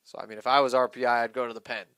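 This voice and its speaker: Male baseball analyst, chatty, crisp voice, dry close sound. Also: mid-range voice, little pitch movement, rapid-fire, fairly bright tone, dry recording. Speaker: baseball color commentator. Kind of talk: live commentary, conversational analysis.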